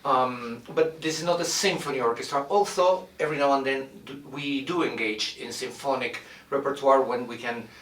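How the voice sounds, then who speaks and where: A middle-aged man from Argentina, a violin professor introducing his studio in a measured way, with many "uh" pauses as he searches for words. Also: mid-range voice, fairly bright tone, recorded from a distance. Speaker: violin professor and orchestra director. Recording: roomy echo, mic set back.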